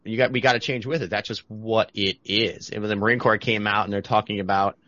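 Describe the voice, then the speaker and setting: A male podcast host who talks bluntly and poses direct questions in a casual chat. His voice sits on the low side, and the recording is dry and slightly dull. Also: steady tone, fairly quick, fairly bright tone, no echo.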